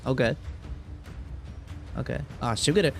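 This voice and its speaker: A man's voice: medium pitch, unhurried, gravelly, over music. A man reacting casually to a video game as he plays, talking in slangy, profane, informal language. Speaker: gaming video creator playing and reacting. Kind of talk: casual gameplay reaction commentary.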